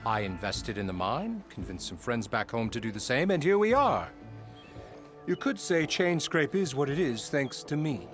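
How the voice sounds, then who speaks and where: An actor playing a pompous, self-important labour boss in a fantasy video game, bombastic, theatrical and mock-courteous in manner. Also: male voice, medium pitch, very animated, moderate pace, a bit bright, faint music behind. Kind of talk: video game character acting.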